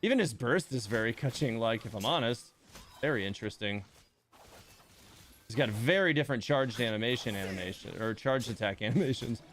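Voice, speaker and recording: A man's voice: medium pitch, lively intonation, a little fast, fairly bright tone, slight background noise. A man giving casual, rambling live stream commentary on a game, thinking aloud as he plays.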